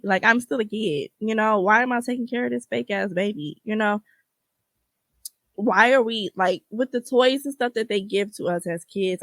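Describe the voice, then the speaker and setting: A woman's voice: mid-range voice, a bit bright, no room sound. A woman in her twenties chatting casually and frankly as a solo podcast host, slangy and free with swearing. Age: 20s (twenty-three).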